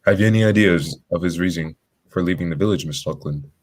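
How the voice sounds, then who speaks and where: A man playing a composed, courteous gentleman detective in a radio drama, with formal, polite wording. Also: low-pitched, fast.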